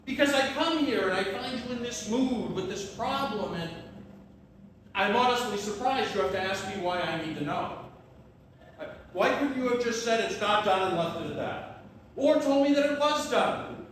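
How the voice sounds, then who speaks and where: An actor playing a painter's concerned, admiring friend in a live one-act stage play, earnest, prodding and exasperated. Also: male voice, high-pitched, lively intonation, normal speed. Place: tavern theater venue. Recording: echoey room, distant mic, faint noise.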